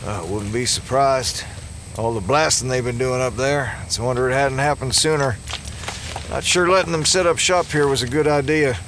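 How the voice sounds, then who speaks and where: An actor playing a gruff, steady small-town American sheriff with a plain-spoken, folksy manner in an audio drama. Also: male voice, mid-range voice, moderate pace, a little hiss or hum, close to an everyday voice. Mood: wry, grumbling. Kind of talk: full-cast audio drama acting. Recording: music bed under the dialogue.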